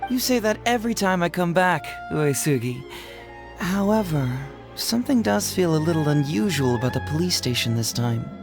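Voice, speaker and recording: A man playing a breezy, quick-witted young detective in a video game, casual in manner, with music behind him. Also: fairly high pitch, very animated. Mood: evasive, breezy. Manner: casual, nonchalant. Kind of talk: scripted video game dialogue.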